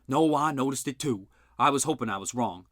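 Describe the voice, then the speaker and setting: A man voicing a gruff, blustering older male inventor who talks loud and boastful. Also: mid-range voice, fairly quick, no echo, studio quality, natural voice.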